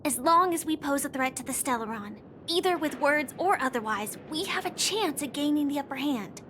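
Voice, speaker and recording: A woman's voice: high-pitched, animated, moderate pace, background noise. An actress playing an earnest, determined young woman ally in a video game, polite and careful. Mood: concerned, determined. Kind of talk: sincere video game dialogue.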